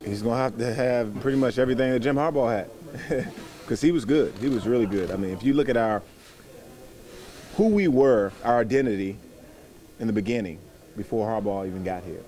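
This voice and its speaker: A man's voice: mid-range voice, a little fast, pauses often, dark tone. A man, a football player, talking casually in a media interview.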